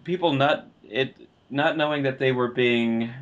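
A man speaks slowly as a co-host in a casual discussion. His mid-pitched delivery is halting, and he often pauses and repeats a phrase before carrying on. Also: fairly bright tone, muffled recording. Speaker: podcast co-host. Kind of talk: casual film and game discussion.

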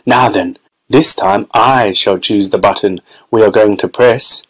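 A man voicing an excitable, whimsical candy maker who talks in giddy, exclamatory bursts. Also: lowish voice, unhurried, breathy edge, poor audio. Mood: delighted.

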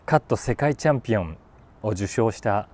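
A man in his forties talking casually about a book, thinking aloud and reading short lines with animated emphasis. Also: on the low side, normal speed. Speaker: YouTube host, voice actor. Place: outdoors on a city rooftop.

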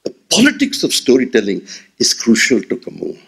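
Older indian guy lecturing at a podium mic, husky voice, lots of swoops up and down. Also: medium pitch, a little fast. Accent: Indian English. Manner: expressive, rhetorical, gestures while speaking. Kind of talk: memorial lecture. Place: auditorium stage at a podium. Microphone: podium gooseneck mic.

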